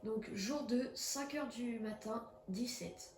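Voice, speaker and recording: A woman's voice: a little high, slow pace, a little mellow, roomy sound, slight background noise. Young woman with a cold, chatty and jumpy. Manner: chatty, jumpy, exclaims when startled. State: has a cold. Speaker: gaming streamer. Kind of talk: casual horror game commentary. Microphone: phone.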